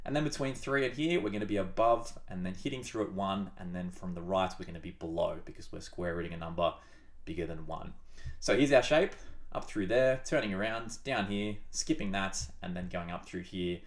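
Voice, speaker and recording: A low-pitched man, audibly congested from a cold, explains graph sketching on a whiteboard. His delivery is chatty and upbeat, with playful asides along the way. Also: a little fast. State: sick, blocked-up nose. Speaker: maths tutor. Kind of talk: casual whiteboard maths tutorial.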